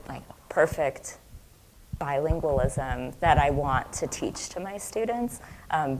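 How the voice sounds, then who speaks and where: A woman's voice: low-pitched, a little mellow, slight background noise, low-quality recording. A woman Spanish professor speaking on an academic panel, casual and personal, with frequent fillers.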